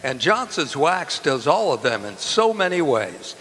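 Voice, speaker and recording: A man playing a chummy, wisecracking announcer and eager pitchman in old-time radio comedy. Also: very animated, unhurried, a bit bright, slight background noise. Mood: earnest. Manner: chummy, jocular. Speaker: show announcer. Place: live stage show in a community hall. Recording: reverberant hall stage performance.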